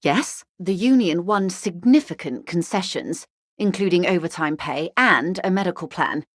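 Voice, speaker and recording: An actress playing a wealthy corporate negotiator in a video game, refined and polished, speaking with urbane, wryly courteous, measured irony. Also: female voice, fairly low pitch, lively intonation, no room sound, clean studio sound. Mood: grave, businesslike. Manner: urbane, measured, dryly ironic. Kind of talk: scripted game dialogue, conversational.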